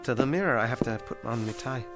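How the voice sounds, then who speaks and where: A man's voice: medium pitch, quick pace, over music, natural voice. An actor playing a hesitant, eager-to-please male ventriloquist down on his luck, stammering and placating in a radio drama. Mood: flustered.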